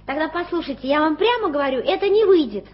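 Defiant street waif Tommy. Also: female voice, high-pitched, expressive, fast talker. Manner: blunt, suspicious, defiant. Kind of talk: 1980s radio play acting. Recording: dull lo-fi radio play recording.

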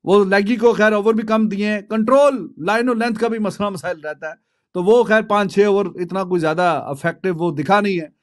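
A man with a Pakistani accent and a high-pitched voice talks cricket casually to the camera. His delivery is conversational and colloquial, with English phrases mixed in. He speaks close to a desk microphone in a small studio. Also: moderate pace. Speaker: cricket commentator. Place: small indoor home studio. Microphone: desk microphone close in front.

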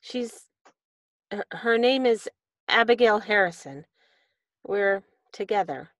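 A woman's voice: mid-range voice, lively intonation, slow, a bit bright, dry recording, highs cut off. A woman playing an anxious, grateful fugitive in a period radio mystery, hesitant and polite. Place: indoor video call.

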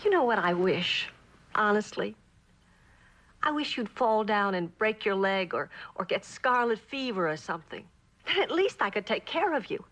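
An actress playing a lonely, neglected wife in a mid-century television drama, plaintive and halting, with a bitter edge. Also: female voice, medium pitch, fairly bright tone. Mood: pleading.

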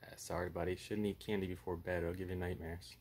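Low, husky man reading bedtime horror. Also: level tone, a little fast, a little mellow. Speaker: puppet show host and storyteller. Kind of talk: horror story read-aloud with casual chat.

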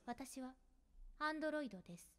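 Woman acting a coolly formal android girl, high voice. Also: a little fast, long reverb tail. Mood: calm, detached. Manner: formal, flat, clipped robotic phrasing. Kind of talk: anime visual novel acting.

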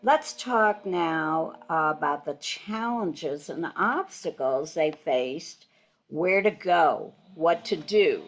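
Older Hungarian-born woman interviewer, low voice. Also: lively intonation, takes it slowly. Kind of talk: video call interview. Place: home living room. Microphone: laptop webcam video call.

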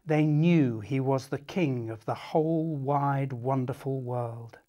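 A man reading a children's story aloud in an expressive, dramatic and deliberate way. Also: mid-range voice, dull audio. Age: 50s. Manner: animated, dramatic, deliberate, addressing young listeners.

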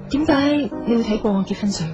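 A woman's voice: on the high side, fast talker, natural voice. Woman acting a cold, guarded young woman, muffled sound. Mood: guarded, curt. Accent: Hong Kong Cantonese. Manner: curt, aloof. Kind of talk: radio drama acting. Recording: dull audio with music underneath.

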